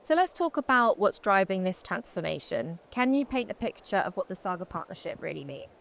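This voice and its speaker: A woman's voice: mid-range voice, big pitch swings, fairly quick, creaky, fairly bright tone. A woman moderating an on-stage interview, asking questions in an upbeat, encouraging way.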